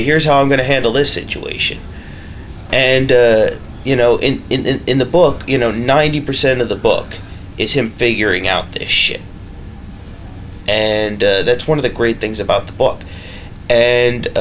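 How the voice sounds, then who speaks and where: A man giving a casual, unscripted review, rambling and halting, with emphatic points and swearing. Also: medium pitch, dull audio, a little hiss or hum. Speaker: amateur movie reviewer.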